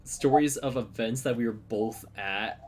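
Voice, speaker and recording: A woman chatting casually on a podcast, relaxed and agreeable, chiming in with "yeah" and "dude". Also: very deep voice, unhurried, slight background noise.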